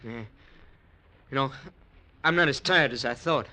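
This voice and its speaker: A man's voice: medium pitch, measured pace, sharp tone, slight background noise. An actor playing a weary male treasure hunter who talks in a blunt, complaining way in a 1940s radio drama. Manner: complaining, blunt everyday talk.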